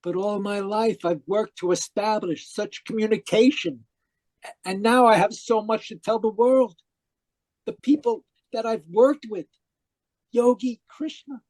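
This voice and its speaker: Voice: medium pitch, measured pace, darkish tone, no echo, lightly acted, poor audio. An actor in a radio mystery playing a distraught, newly dead spiritualist, dramatic and earnest. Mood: desperate, pleading. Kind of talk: old-time radio mystery acting and narration.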